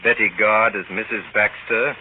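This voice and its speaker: A man hosting and announcing a 1930s radio program in a formal, measured manner. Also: on the low side, flat delivery, phone-quality sound, slight background noise. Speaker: host, announcer. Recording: thin, band-limited 1930s radio recording.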